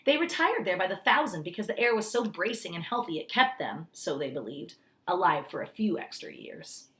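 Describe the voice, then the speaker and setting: A woman narrating a children's story aloud as a teacher, in an expressive read-aloud style. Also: fairly low pitch, brisk.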